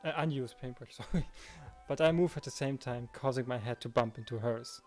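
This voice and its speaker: A man's voice: medium pitch, brisk, a little mellow, soft background music. A man hosting a let's play, reading a visual novel and chatting casually in a conversational way. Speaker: let's play host, narrator and reader.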